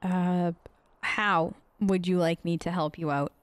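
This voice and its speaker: A woman's voice: on the low side, fairly even intonation, slow pace, clean tone, no room sound. A woman doing casual, improvised role-play commentary as a let's play host, conversational, hesitant and often questioning.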